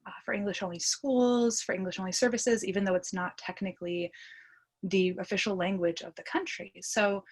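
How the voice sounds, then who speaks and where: A woman's voice: medium pitch, fairly even intonation, fairly quick. A woman from the United States with an American accent, a podcast guest casually telling personal stories. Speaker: podcast guest, language learner.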